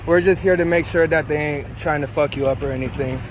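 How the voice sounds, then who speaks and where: A man filming on a phone outdoors on a city street, loudly and profanely confronting others. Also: a little high, steady tone, fairly quick, noisy background. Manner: loud, confrontational, swearing. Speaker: police-watch activist filming officers. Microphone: handheld phone.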